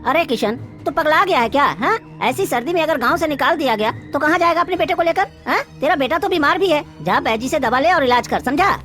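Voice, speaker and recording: A woman's voice: sits high, lively intonation, fast talker, few pauses, fairly bright tone, soft background music, voice pushed up. A woman voicing fretful villagers in a cartoon, complaining and scolding. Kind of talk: cartoon dialogue acting.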